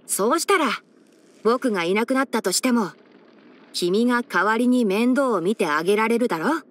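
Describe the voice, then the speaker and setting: A woman's voice: fairly high pitch, lively intonation, moderate pace. Composed, scholarly young male forest ranger. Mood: wry, matter-of-fact. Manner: composed, articulate, mildly wry, mentor-like explaining. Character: young male fox-eared forest ranger, scholarly, sensible, dryly caring. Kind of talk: scripted video game character voice-over.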